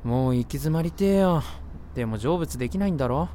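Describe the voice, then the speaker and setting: A woman's voice: low-pitched, lively intonation, fairly quick, dark tone, slight background noise. A woman playing a blunt, grumpy but kind-hearted young man in an amateur voice drama. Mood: resigned, determined. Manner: rough, blunt masculine speech, exasperated retorts. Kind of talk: Japanese amateur voice drama acting.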